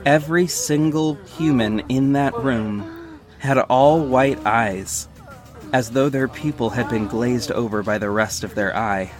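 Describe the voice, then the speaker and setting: A man's voice: medium pitch, a little slow, close to an everyday voice. A man playing a wry young superhero who narrates his own mission in scripted first person. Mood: measured, descriptive. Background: music bed.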